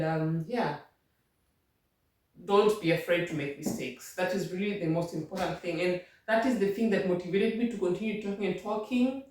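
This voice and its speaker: A woman's voice: on the low side, recorded from a distance, a little hiss or hum. A Kenyan woman vlogging language-learning tips at home, repeating and rephrasing as she patiently explains. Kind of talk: casual advice talk. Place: home room. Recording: very echoey room, voice off-mic.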